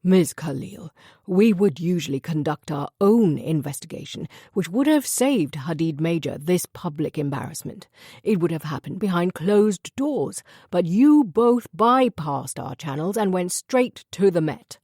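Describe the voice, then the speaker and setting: A woman's voice: on the low side, lively intonation, unhurried, warm tone, husky, no room sound, clean studio sound, exaggerated voice. A woman voicing a pompous school official. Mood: formal. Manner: pompous, formal, evasive. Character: smooth evasive older male school official.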